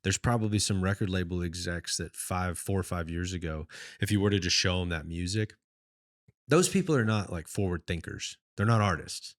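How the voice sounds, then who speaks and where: Low-voiced, slangy podcast host man. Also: moderate pace. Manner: slangy, enthusiastic, talkative. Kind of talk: casual chat.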